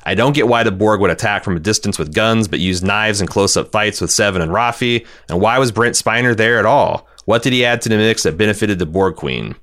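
A man's voice: fairly low pitch, a little fast, hardly any pauses, fairly bright tone. A man hosting a podcast, casually recapping television and reading out listener feedback in an opinionated, exasperated way with some swearing. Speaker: podcast host.

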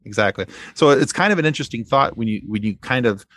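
A man's voice: medium pitch, expressive, quick pace, a bit bright. A man in a casual fan discussion as a podcast guest, rambling and circling back to repeat his phrases.

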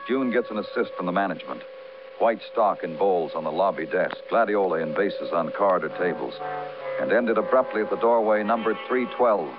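An American actor playing a world-weary police detective who narrates his case in poetic, clipped, dramatic 1950s radio noir style. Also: low-pitched. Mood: somber. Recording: muffled vintage radio broadcast, music behind.

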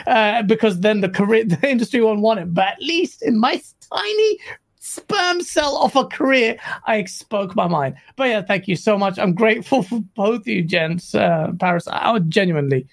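A man's voice: sits high, expressive, normal speed, creaky. A man guest on a casual gaming podcast, fiery and joking, talking in a rambling way. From Pakistani heritage. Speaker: guest, video creator.

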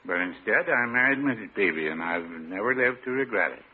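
An actor playing a droll, mild small-town American druggist in a classic radio comedy, talking in a dry, folksy way. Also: male voice, lowish voice, expressive, brisk, husky. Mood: deadpan. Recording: tinny, noisy old radio recording.

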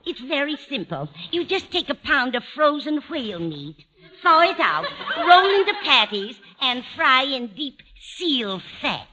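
A woman's voice: sits high, very animated, measured pace, pushed and bright, full character voice. An actress playing a cheerful, dotty older landlady, chirpy and earnest, in an old radio sitcom. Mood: chirpy, proud. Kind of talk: 1950s radio sitcom acting. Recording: dull old radio broadcast recording.

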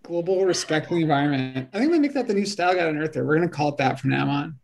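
A man's voice: a little high, expressive, quick pace, vocal fry, soft background music. A man guesting on a panel, chatting casually in a dry, joking manner.

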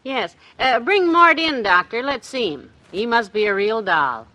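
An actress playing a good-humored, patient wife who gently needles her husband, in the style of 1950s radio comedy. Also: on the high side, big pitch swings, measured pace, heavily acted. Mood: amused, indulgent.

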